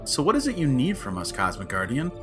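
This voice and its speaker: Man acting a wry, animated Time Lord adventurer. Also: medium pitch, a little fast. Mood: pondering. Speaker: actor in an audio drama. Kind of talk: sci-fi comedy audio drama acting. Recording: loud music under the dialogue.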